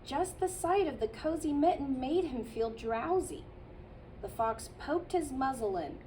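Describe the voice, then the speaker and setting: A childcare teacher, a woman, reading a picture book aloud to children in a gentle storytelling manner with playful asides. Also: high voice, lively intonation, a little slow, distant mic, slight background noise, rough recording.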